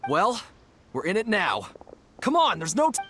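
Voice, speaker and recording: A man's voice: high-pitched, wildly swooping pitch, normal speed, bright, forceful tone, soft background music, full character voice. An actor playing an eager, loyal young gang henchman in an anime-style video game, urgent and full of energy.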